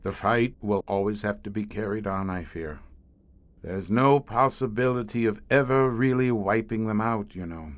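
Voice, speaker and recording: An actor playing a grim, weary older commander of a secret monster-hunting police squad in an audio horror drama, speaking in florid, deliberate phrases. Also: male voice, on the low side, natural voice. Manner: eloquent, florid, deliberate speech.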